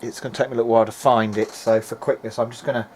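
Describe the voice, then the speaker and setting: A man's voice: medium pitch, little pitch movement, very fast, a little mellow. A man giving an informal, hesitant video-diary narration as he tests equipment, thinking aloud in a noisy amateur recording. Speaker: hobbyist electronics repairer.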